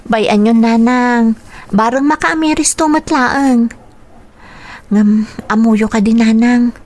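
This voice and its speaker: A young actress with an Ilocano accent playing a protective grown daughter in a radio drama. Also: female voice, on the high side, slow pace, darkish tone. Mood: concerned. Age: young adult. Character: protective grown daughter, newly employed, concerned for mother.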